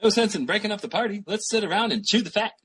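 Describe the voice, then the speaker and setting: A man playing a husky, brash small-time hustler. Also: high-pitched, fairly bright tone. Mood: cocky, persuasive. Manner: pushy, fast-talking salesman patter. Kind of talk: old-time radio sitcom acting.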